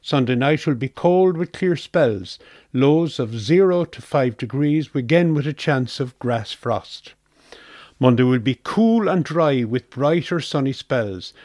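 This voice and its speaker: A man's voice: mid-range voice, darkish tone, no echo, clean studio sound. A man reading a scripted weather forecast for radio.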